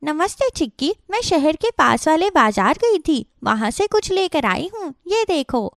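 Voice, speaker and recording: A woman voicing a kind, helpful female rabbit in a children's animal tale, gentle, encouraging and expressive. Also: high-pitched, a little slow, dry recording, studio quality, voice pushed up, full character voice. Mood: cheerful. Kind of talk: children's cartoon storytelling.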